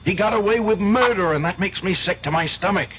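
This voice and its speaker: A man's voice: high-pitched, fairly quick, fairly bright tone. A man playing an earnest, grave lawyer with an insistent, pleading manner in a radio mystery drama. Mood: urgent, pleading. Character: earnest, guilt-ridden male lawyer pressing for truth. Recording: dull, faintly noisy old radio recording.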